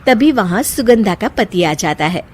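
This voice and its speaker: A woman's voice: mid-range voice, unhurried, husky. A woman narrating a comic family story from a script, expressively and speaking straight to the listeners. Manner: expressive, addresses listeners directly.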